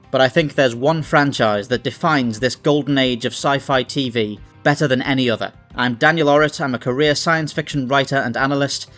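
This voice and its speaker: A man's voice: mid-range voice, fairly bright tone. A man hosting a scripted video essay, speaking in an articulate, analytical way. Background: background music.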